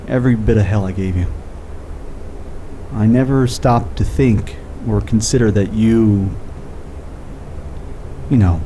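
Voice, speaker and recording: A man acting in an audio drama as a guilt-ridden, defensive skeptic, with halting, hesitant delivery and dry sarcasm. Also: on the low side, dark tone, a little hiss or hum, low-quality recording.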